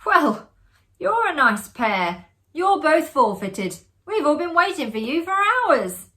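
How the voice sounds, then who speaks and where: A woman voicing a boisterous, teasing young man in a ghost story, loud and exclamatory. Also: big pitch swings, slow, full character voice. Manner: loud, exclamatory, high-pitched.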